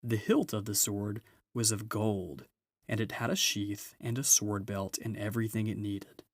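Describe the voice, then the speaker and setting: A man's voice: on the low side, no echo. Man reading a storybook, dry close sound. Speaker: storybook reader. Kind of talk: scripted narration of a children's novel.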